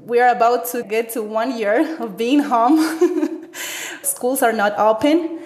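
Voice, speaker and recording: Ecuadorian lady in her 30s, talks lively w lots of ums, kinda high voice, echoey room. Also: takes it slowly. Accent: Ecuadorian Spanish. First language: Spanish. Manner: animated, gestures with stories, frequent fillers. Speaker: interviewee, teacher. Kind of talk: conversational interview.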